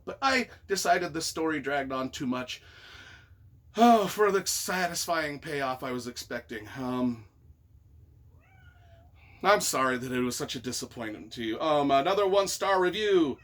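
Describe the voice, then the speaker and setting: A man reading reviews aloud and reacting casually in an expressive, wry, self-deprecating way. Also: a little high, normal speed. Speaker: author and YouTuber.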